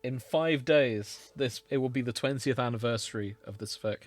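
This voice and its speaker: A man's voice: mid-range voice, moderate pace, no room sound. A man hosting a podcast in an informal, amused, chatty way. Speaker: podcast host. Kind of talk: casual comedic podcast chat.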